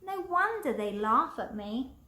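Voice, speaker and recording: A good-natured, playful elephant from a picture book, played by a woman reading aloud. The voice is fairly high and simply expressive. It sounds in a roomy, echoing space. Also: measured pace, heavily acted. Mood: downcast musing.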